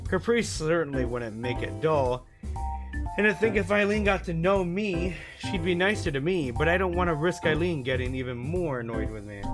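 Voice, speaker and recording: A man doing let's play narration, reading visual novel text aloud as a gaming host and sometimes stumbling over words. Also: a little high.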